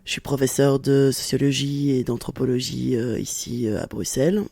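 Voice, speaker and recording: A woman's voice: extremely low pitch, steady tone, moderate pace, vocal fry, mellow, no room sound, clean studio sound. Candid woman in her forties. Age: 40s. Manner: candid, reflective, with hesitant restarts. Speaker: interviewee, sociology professor. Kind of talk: personal testimony in an interview.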